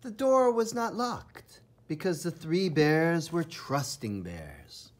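A man reading a children's storybook aloud with enthusiastic, theatrical emphasis and dramatic pauses in a husky, breathy voice. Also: on the high side, very animated. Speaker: storybook reader. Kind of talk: children's read-aloud narration.

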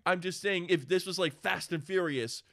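A man's voice: high voice, normal speed, creaky, fairly bright tone, no room sound, studio quality. A man co-hosting a casual discussion, emphatic and sweary, repeating phrases to press his point. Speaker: co-host of a film discussion show. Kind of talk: casual chat.

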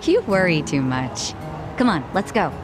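An actress playing a calm, quietly bold young woman in a video game, reflective and confident. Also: lowish voice, a little slow, over music. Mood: light teasing. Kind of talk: naturalistic video game voice acting.

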